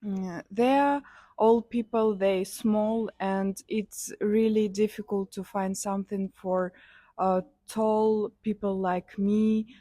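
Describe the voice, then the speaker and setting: Slow hesitant young Kazakh woman. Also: medium pitch, fairly even intonation, mellow, no echo. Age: young adult. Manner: hesitant, many fillers, simple non-native English. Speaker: interviewee, student. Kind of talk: street interview answers.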